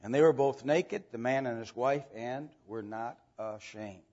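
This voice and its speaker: A man teaching an informal Bible lesson to a church group, with halting delivery and frequent pauses to clear his throat. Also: mid-range voice, darkish tone. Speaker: church Sunday school teacher. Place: church room with a group present. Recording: dull, lo-fi church recording.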